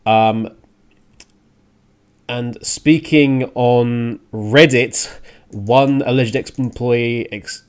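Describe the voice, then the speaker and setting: A man hosting a radio show, giving a casual, opinionated rant on the news in a halting way, restarting phrases and stressing points in exasperation. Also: mid-range voice, steady tone, clean tone.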